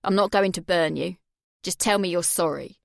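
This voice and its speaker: Woman doing a menacing controlling guy, low clipped orders, dry sound. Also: normal speed, vocal fry, no room sound. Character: cold controlling abusive adult man.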